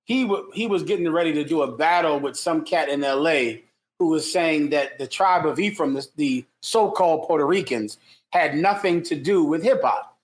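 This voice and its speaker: A man's voice: fairly high pitch, moderate pace. A man co-hosting a casual commentary chat, speaking in a preachy, sermon-like way. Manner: sermon-like, religious talk.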